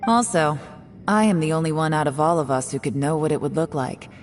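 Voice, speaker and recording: A woman voicing a secretive, composed young woman agent in a video game, speaking in a measured, guarded way with heavy sighs. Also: deep voice, animated, faint music behind. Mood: grave.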